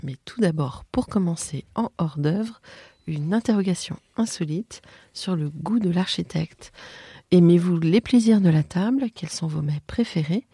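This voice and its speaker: A woman's voice: lowish voice, a little slow, dark tone, breathy edge, no room sound. A French woman hosting an interview podcast, asking questions with frequent hesitations. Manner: hesitant, many fillers. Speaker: podcast host.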